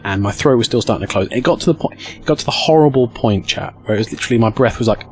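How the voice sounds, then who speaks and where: A man's voice: medium pitch, racing, faint music behind. A British man streaming to a live chat, telling casual comedic stories with crude, self-mocking humour and acting out the dialogue. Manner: acts out dialogue with excited outbursts. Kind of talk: animated casual comedic storytelling.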